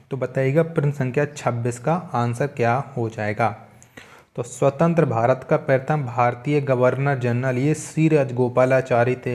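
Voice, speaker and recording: A young adult man teaching an exam coaching lesson, reading each question, asking it back and giving the answer in a steady, repetitive manner. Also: mid-range voice. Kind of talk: quiz answer walkthrough lesson.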